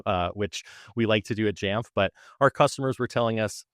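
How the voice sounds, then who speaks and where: A man's voice: lowish voice, moderate pace, dry recording, studio quality. A man being interviewed as a guest, explaining how his support team works in a conversational way. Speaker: technical support manager, guest.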